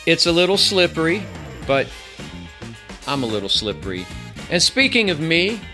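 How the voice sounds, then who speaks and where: A man's voice: fairly high pitch, big pitch swings, moderate pace, sharp tone, soft background music. A man giving a casual, rambling product review as a channel host, with wry asides.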